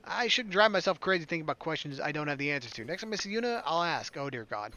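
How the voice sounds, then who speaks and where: A man's voice: fairly high pitch, animated, quick pace. A man hosting a game playthrough, reading a visual novel aloud and dropping in casual, offhand commentary. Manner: switches between read dialogue and offhand asides. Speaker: gaming video host. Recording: loud game music behind the voice. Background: game music.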